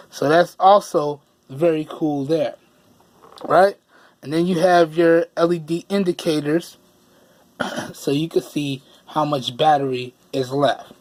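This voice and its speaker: Hoarse man chatting through a gadget review. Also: sits high, a little mellow. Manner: chatty, repeats himself for emphasis. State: hoarse, losing his voice. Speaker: product reviewer.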